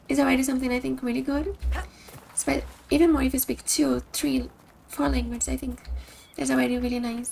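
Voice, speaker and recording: A woman's voice: sits high, gravelly, mellow, a little hiss or hum, rough recording. A woman from Brazil with a Brazilian Portuguese accent, a streamer chatting casually with her viewers and often restarting sentences as she searches for words.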